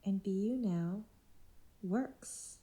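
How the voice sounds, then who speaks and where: A woman's voice: mid-range voice, very slow, dark tone. A woman playing a smug, controlling group leader in a podcast drama, taunting and assured. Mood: coolly certain.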